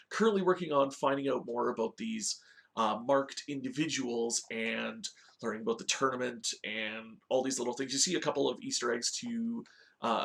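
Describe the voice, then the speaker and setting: Gravelly-voiced man hosting a casual review. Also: medium pitch, a bit bright. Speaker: host of a video game movie review show. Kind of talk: casual review talk with story recap.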